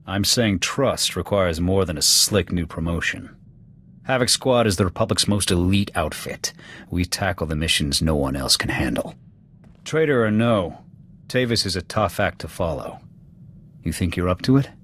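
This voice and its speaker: An actor playing a gruff, proud alien military officer in a video game, with an American accent and a blunt, clipped military delivery. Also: male voice, low-pitched, moderate pace, fairly bright tone, slight background noise. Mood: challenging, serious. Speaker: soldier serving as second to his commander. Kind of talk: video game dialogue acting. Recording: background music under the dialogue.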